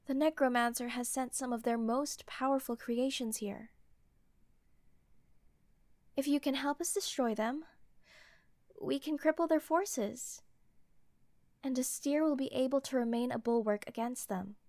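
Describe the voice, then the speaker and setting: A woman's voice: high-pitched, unhurried, long pauses, darkish tone, no echo. A woman playing a proud, earnest young queen in a fantasy audio roleplay, speaking formally and a little defensively.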